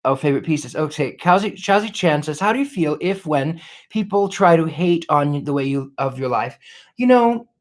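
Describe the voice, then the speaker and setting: A man hosting a live stream as a psychic, reading out viewers' questions and answering them in a genteel, flowery way with many halting restarts. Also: a little high, animated. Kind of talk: live stream, reading chat comments aloud. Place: seated at a wobbly table indoors.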